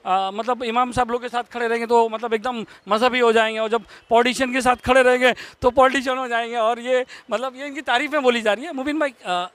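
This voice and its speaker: Very high-pitched man reporter. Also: a bit bright. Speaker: news reporter interviewing people. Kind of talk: street news interview, informal questioning.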